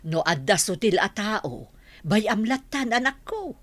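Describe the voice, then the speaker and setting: A woman's voice: medium pitch, sing-song, a little slow. A woman playing a patient, concerned mother in a comedy radio drama, coaxing and motherly. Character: patient, concerned mother advising her young child.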